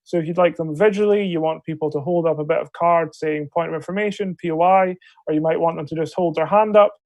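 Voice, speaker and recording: A man with a fairly high voice that keeps breaking into a gravelly fry, chairing an online debate. He hesitates politely between phrases, and the sound is dry, from a video call mic. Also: fairly even intonation, fast talker, a little mellow, no room sound, dull audio. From Scotland. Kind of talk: procedural briefing on a video call. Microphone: video call microphone.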